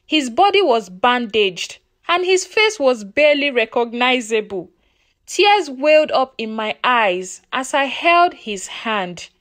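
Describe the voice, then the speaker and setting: A woman with a Nigerian accent narrating a first-person folktale in an expressive, deliberate, emotive way. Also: on the high side, dry recording, clean studio sound. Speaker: storyteller.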